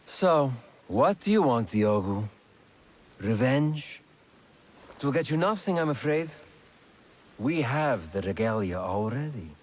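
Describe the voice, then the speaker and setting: A man's voice: mid-range voice, very animated, slow, long pauses. A man voicing an arrogant, ruthless slaver villain in a video game, pompous and taunting in manner. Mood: smug menace. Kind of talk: dramatic video game acting.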